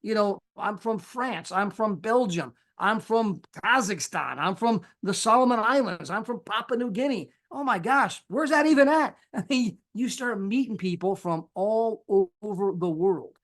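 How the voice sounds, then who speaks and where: A man giving an energetic sales pitch on a live call, casual and folksy, acting out the people he quotes. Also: moderate pace, hardly any pauses. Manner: animated, acts out quoted people with big pitch jumps. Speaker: sales presenter.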